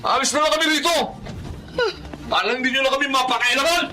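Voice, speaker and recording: High-pitched young husband. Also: male voice, fast, pushed and bright, soft background music, heavily acted, low-quality recording. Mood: defiant, whining. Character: young husband and father, drug user, defiant then remorseful. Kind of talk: Filipino radio drama acting.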